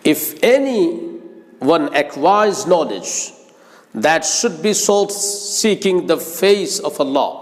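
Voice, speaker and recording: A man preaches slowly in a fairly high voice that rises and falls with lively movement. His delivery is formal and earnest, like a religious teacher instructing students. Long reverb trails after his words. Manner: earnest, formal, deliberate phrasing. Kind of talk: religious sermon with Arabic quotations.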